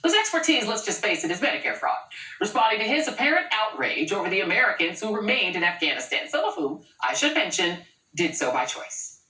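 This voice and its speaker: A woman's voice: mid-range voice, sing-song, brisk, pushed and bright. A woman anchoring a cable news show, delivering pointed, sarcastic commentary. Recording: roomy sound from a distant mic.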